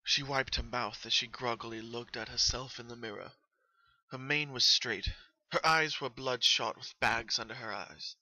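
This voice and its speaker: Very bright, dry-sounding male narrator. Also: medium pitch, moderate pace, no room sound, low-quality recording. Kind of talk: dramatic reading of horror fan fiction.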